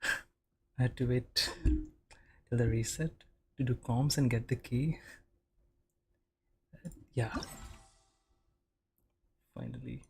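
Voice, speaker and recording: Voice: medium pitch, steady tone, very slow, gravelly, long pauses, slight background noise. A game streamer giving casual reactions over a game, muttering short interjections and reading game text aloud. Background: game music.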